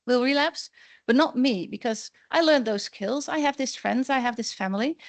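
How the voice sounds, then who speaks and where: A woman's voice: on the high side, no room sound. Woman researcher presenting study findings. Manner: explanatory, quoting others in lively voices. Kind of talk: academic seminar talk.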